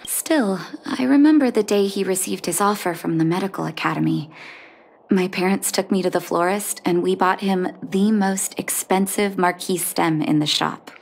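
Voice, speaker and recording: An actress playing a gentle, composed young doctor and devoted daughter in a video game, soft-spoken and sincere. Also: female voice, mid-range voice, normal speed, vocal fry. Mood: wistful, tender. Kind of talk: scripted video game dialogue. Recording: music under the voice.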